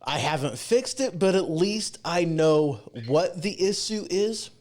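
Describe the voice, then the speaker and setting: Male host, slightly high voice, casual chat, rambling, informal. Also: animated, a little slow, darkish tone. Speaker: livestream podcast host. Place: home podcast studio.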